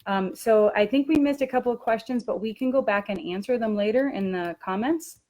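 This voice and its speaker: A woman's voice: fairly high pitch, fairly quick, a little mellow. A woman talking casually as she presents a webinar for language teachers.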